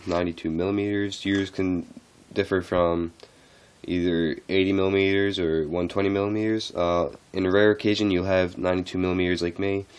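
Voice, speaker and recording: Low-voiced man, a little sick. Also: clean tone. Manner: halting, loose and unscripted. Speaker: tech tutorial host. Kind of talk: casual how-to walkthrough.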